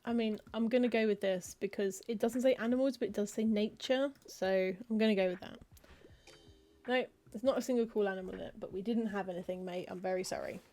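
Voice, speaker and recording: A woman's voice: medium pitch, fairly even intonation, brisk, dark tone. A woman chatting casually as she plays a cozy game, muttering through lists of book titles with wry asides. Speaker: streamer playing a cozy game. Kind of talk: casual gameplay commentary, reading game text aloud.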